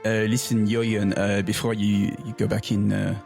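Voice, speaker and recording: A man's voice: fairly low pitch, little pitch movement, normal speed, creaky, over music. A man playing a suspicious, hesitant Frenchman in a tabletop game, speaking cryptically. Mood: suspicious, confiding. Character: secretive, suspicious, hard-drinking Frenchman.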